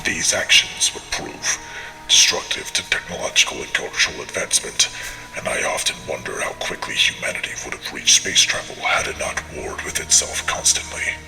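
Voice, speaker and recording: A man voicing a stern male alien warrior who delivers a formal, measured audio log report in a gravelly voice. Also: rumbling low, bright, forceful tone, echoey room, faint music behind, exaggerated voice, rough recording. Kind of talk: scripted in-character audio log narration.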